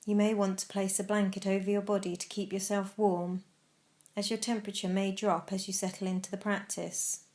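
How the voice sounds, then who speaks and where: A woman narrating a guided mindfulness meditation, giving gentle, measured instructions with pauses. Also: mid-range voice, little pitch movement.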